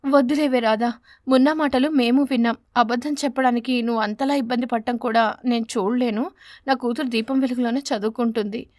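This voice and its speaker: A woman voicing a poor, patient mother sparrow in an animated story, soothing and plaintive. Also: on the high side, fairly quick, dry recording, studio quality, close to an everyday voice. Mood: resigned. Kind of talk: animated bedtime story character.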